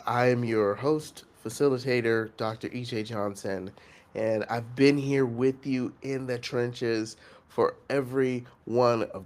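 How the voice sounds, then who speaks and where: Congested man giving an earnest coaching talk. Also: medium pitch. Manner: reflective, earnest, slow with frequent pauses and fillers. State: congested, sounding nasal. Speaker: host and facilitator of an online coaching challenge.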